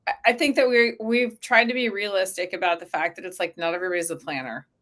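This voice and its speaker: A woman guest in a casual conversation, explaining in an informal, looping way. She often slips into voicing what people might say, and her sentences are sprinkled with "like". Her pitch sits in a middle range. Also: quick pace. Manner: animated quoting of imagined speakers, frequent "like". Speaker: interview guest, company co-founder.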